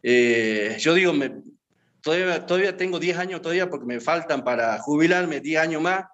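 A man's voice: fairly high pitch, expressive, fairly quick, a bit bright, dull audio. Rambling man in his fifties. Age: 50s. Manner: rambling, full of hesitations and filler words. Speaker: guest. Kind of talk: reminiscing interview answers. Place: his home.

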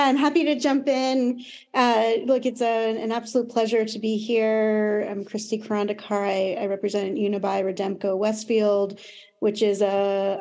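A woman's voice: mid-range voice, mellow. A woman with an American accent speaking conversationally as an executive panelist in an online discussion. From United States.

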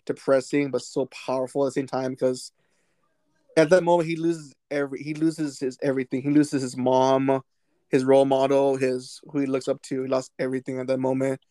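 A man co-hosting a podcast, talking excitedly about a film in a rambling way, with many restarts and fillers. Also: mid-range voice, fairly quick, no room sound. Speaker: podcast co-host. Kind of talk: casual movie discussion.

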